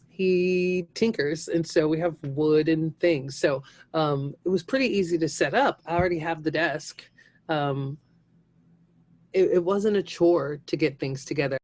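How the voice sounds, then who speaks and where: A woman's voice: low-pitched, darkish tone. A woman who works as a stage actor, speaking casually and explaining things in a conversational way. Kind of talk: casual spoken interview.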